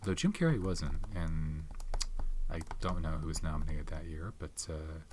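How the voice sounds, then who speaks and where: A man speaking softly in an ASMR movie chat, rambling and pausing to recall names. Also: extremely low pitch, background noise. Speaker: ASMR host reviewing movies. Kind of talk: soft-spoken ASMR chat.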